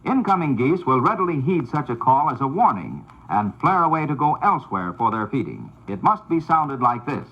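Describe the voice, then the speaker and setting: A man's voice: a little high, big pitch swings, a little hiss or hum. Man narrating on a muffled old record. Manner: measured, formal, announcer-like delivery. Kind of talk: scripted instructional narration.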